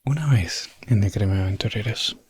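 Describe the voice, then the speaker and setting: A man playing a calm, courteous elf prince adventurer in a soft, gentle ASMR fantasy roleplay, slipping in and out of whispers. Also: on the low side, normal speed, breathy edge, no echo. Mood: calm, recounting. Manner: hushed, gentle, drifting in and out of whisper.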